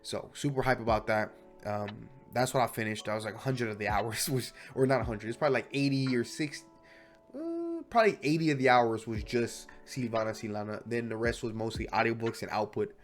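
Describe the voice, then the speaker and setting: A man's voice: medium pitch, lively intonation, fairly quick, faint music behind. A young man with a stuffy voice from a cold, chatting casually and correcting himself often as he talks about his own learning. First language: English. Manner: chatty, self-correcting, restless. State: sick, stuffy voice. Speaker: language learning vlogger. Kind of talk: casual rambling monologue.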